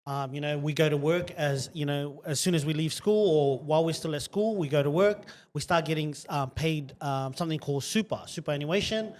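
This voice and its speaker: A man with a fairly high voice hosts with eager, rambling energy, chuckling as he goes. His long sentences wander and circle back before landing on the point. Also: a little fast, hardly any pauses, a little mellow. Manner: enthusiastic, rambling, laughs between phrases. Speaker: podcast host. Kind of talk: casual show hosting.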